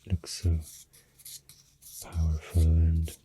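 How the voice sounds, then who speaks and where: A man with a Swedish accent doing soft-spoken, often whispery ASMR, explaining things in an unhurried, hesitant ramble close to the microphone. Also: very deep voice, monotone, a little hiss or hum. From Sweden. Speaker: ASMR creator. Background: pencil writing and clicking sounds.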